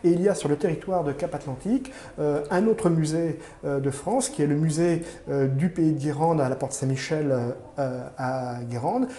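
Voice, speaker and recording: A man giving formal, measured explanations in an interview as a museum curator, often pausing mid-phrase as he searches for words. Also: on the high side, dark tone. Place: indoor room in a museum. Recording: roomy, echoing indoor sound.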